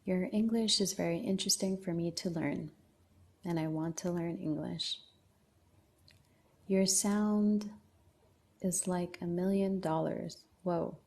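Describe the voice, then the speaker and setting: A woman's voice: on the low side, darkish tone. A woman hosting a casual livestream, reading out viewer comments and answering them one by one. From Lebanon. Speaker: livestream host.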